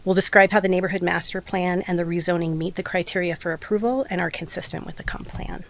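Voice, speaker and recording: A woman with a slightly hoarse voice giving a prepared, formal presentation as a development applicant at a public meeting. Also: fairly low pitch.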